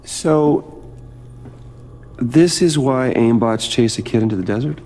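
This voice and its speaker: An actor playing a weary, guilt-ridden middle-aged scientist in a video game, talking in a halting, hesitant mumble. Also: male voice, medium pitch, darkish tone, a little hiss or hum, lightly acted. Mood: puzzled. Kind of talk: naturalistic video game cutscene acting.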